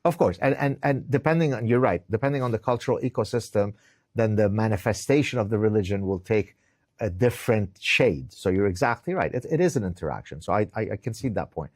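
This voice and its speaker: A man's voice: fairly low pitch, animated, quick pace, darkish tone, no echo, studio quality. A middle-aged man from Lebanon, giving emphatic interview answers, often repeating words as he starts and tagging points with "right?". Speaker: interview guest, author. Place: indoors.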